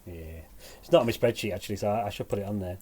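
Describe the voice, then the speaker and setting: A man with a northern English accent tinged with Sheffield, chatting as a podcast guest in a halting, wry way. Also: on the low side, a little mellow, slightly rough. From England. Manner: halting, self-correcting, dryly wry. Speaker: podcast guest, musician and teacher. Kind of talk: casual podcast conversation.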